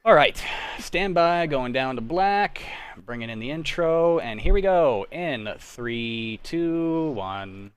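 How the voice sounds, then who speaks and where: A man's voice: a little high, expressive, slow pace, background noise. A young man chatting casually as he hosts and organizes an online script read. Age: young adult. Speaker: host of a livestreamed script read.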